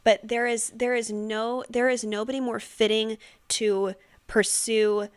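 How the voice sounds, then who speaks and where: A woman's voice: on the high side, level tone, smooth. A woman co-hosting a podcast, speaking earnestly and affirming her partner often. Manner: earnest, affirming, quick to agree. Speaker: podcast co-host. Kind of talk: casual podcast conversation.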